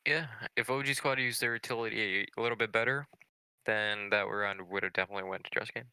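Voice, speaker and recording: Lower-pitched male esports analyst. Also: fairly even intonation, quick pace, sharp tone, no echo, poor audio. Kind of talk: analytical match commentary. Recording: clear game gunfire and noise behind.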